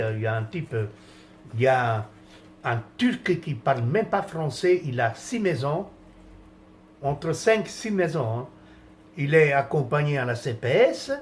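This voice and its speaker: A man from France with a slightly raised pitch, venting about politics in a loose, rambling way. He is indignant, restarts often, and lets phrases trail off. A faint ambient hum sits behind him.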